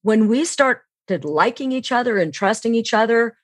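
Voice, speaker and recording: A woman's voice: a little high, animated, moderate pace, dry recording. Instructive woman, dry close sound. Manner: instructive, asks and answers own questions. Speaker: guest, sales training expert. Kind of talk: podcast interview, explanatory answers. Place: remote online call.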